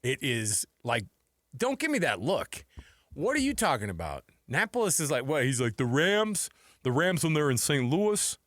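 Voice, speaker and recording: A man's voice: mid-range voice, very animated, normal speed, fairly bright tone, no room sound, studio quality. A man hosting a sports talk radio show in an informal, conversational way, talking like he is chatting with a buddy. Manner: informal, conversational, addresses listeners and co-host as man and dude. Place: radio studio.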